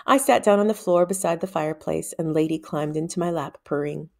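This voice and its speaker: Woman reading a storybook, lowish voice. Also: a little fast, vocal fry. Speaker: read-aloud narrator. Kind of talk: expressive storybook read-aloud.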